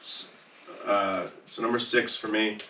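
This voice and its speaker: A man co-hosting a podcast in casual group chat, speaking haltingly and repeating himself as he goes. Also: on the low side, fairly even intonation, sharp tone, slight background noise. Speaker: podcast co-host. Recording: roomy echo, distant from mic.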